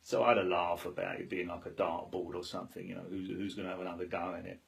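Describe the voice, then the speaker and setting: A man telling a story with humour in a loud, chirpy way, as the guest in an interview. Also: deep voice, flat delivery, quick pace, gravelly. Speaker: interviewee, cancer patient.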